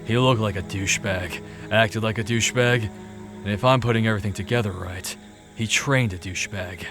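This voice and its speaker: An actor playing a gruff, guilt-ridden killer in an audio drama, blunt and awkward in the way he talks. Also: on the low side, close to an everyday voice. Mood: blunt, scornful. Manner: blunt, awkward, halting when flustered. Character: gruff, guilt-ridden male ex-murderer, shy in love. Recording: music under the voice. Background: music.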